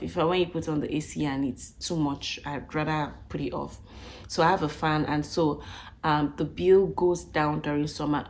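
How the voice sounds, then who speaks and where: A woman with a croaky voice, recovering from flu, chatting casually to viewers and often repeating and rephrasing as she goes. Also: deep voice, darkish tone. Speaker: vlogger. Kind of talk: casual budget breakdown talk to viewers.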